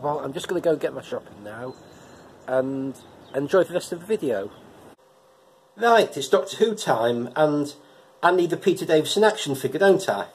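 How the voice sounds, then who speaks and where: A chatty middle-aged man with a cold talks straight to his camera. His voice sits slightly high for a man, and he stumbles and repeats words as he goes. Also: expressive, moderate pace, a little hiss or hum. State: has a head cold. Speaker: vlogger reviewing episodes. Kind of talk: casual personal vlog talk. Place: living room indoors, and a car park outdoors at night.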